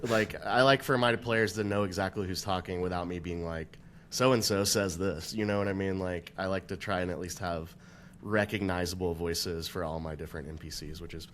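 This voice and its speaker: Low-voiced man chatting about tabletop games. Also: normal speed, slight background noise. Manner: relaxed, thinks aloud with many fillers. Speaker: podcast co-host and dungeon master. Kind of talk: casual tabletop gaming discussion.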